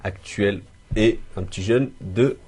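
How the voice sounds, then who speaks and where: A male radio host with a low voice and a rough, husky grain. He chats casually and likes to tease and banter with his co-hosts. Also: slow, a little mellow. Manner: teasing, bantering. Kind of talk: casual political radio talk.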